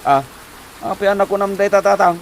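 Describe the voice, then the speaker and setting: A man's voice: high voice, fairly quick, gravelly. A man acting a worried, debt-ridden husband in an Ilocano comedy drama, with an Ilocano accent and a flustered, stammering, sighing manner. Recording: noticeable background noise.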